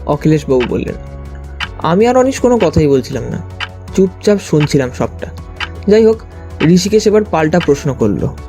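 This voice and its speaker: A man's voice: fairly high pitch, sing-song, normal speed, pauses often, dark tone. A man reading scripted first-person narration for a detective audio story. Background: background music.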